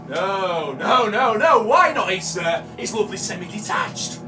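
An actor with a British accent playing a haunted, obsessive man confessing to a killing in a halting, repetitive live horror monologue that swings between hush and shouting. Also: high-pitched, big pitch swings, exaggerated voice. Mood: manic, gloating. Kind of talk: live dramatic monologue of horror audio drama. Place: small dim café stage before seated audience. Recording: distant live room mic, music behind.

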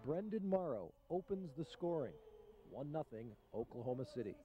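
A man giving scripted narration for a hockey documentary in a measured, matter-of-fact way. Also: fairly high pitch, very animated, very noisy.

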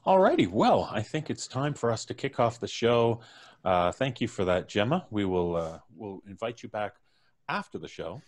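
A man's voice: on the low side, sing-song, normal speed. A middle-aged man, jovially hosting a live podcast show and chatting between introductions. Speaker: podcast host. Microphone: video call from home.

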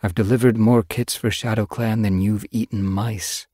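A man voicing a wise old female cat in an audiobook story, stern but caring, with measured, admonishing speech. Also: fairly low pitch, fairly even intonation, dark tone, slightly rough, no echo, clean studio sound, pitched above the performer's own voice.

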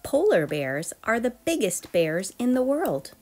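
A woman reading a children's nonfiction book aloud as storyteller, expressive and addressing young listeners directly. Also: fairly high pitch, measured pace. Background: background music.

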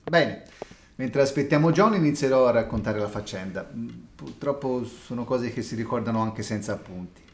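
A man playing halting old Dr Watson. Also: medium pitch, roomy sound, close to an everyday voice. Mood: narrating. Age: older. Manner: halting, stammering restarts. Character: old Dr Watson, retired doctor, hesitant. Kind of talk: amateur audio drama.

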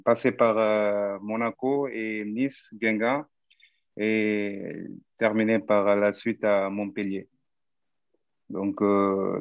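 Ex-player, low gravelly voice, very slow, dry dull call audio. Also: male voice, dry recording. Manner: slow and hesitant. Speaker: former footballer. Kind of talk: video call self-introduction.

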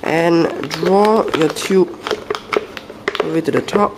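Soft, croaky young man explaining a build. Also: on the high side, takes it slowly, background noise. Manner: soft-spoken, hesitant with restarts. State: croaky, soft voice after a flu. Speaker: aquarium hobby channel host. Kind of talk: casual tutorial narration. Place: indoors at a table.

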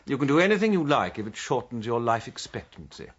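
Radio drama sidekick: middle-aged English military gentleman, fussy and dryly sarcastic, lively intonation, slightly dull recording. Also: medium pitch, fast talker, slightly rough. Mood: sarcastic, indignant. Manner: dry, sarcastic, fussily polite.

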